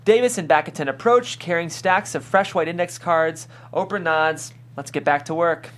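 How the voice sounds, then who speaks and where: A narrator reads a screenplay's stage directions aloud at a table read. The voice is of middling pitch, with scripted delivery of scene headings and action. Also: moderate pace.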